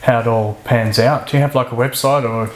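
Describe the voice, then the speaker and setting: A man hosting a casual podcast interview, friendly and prompting with quick follow-up questions. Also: mid-range voice, fairly even intonation, roomy sound. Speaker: podcast host.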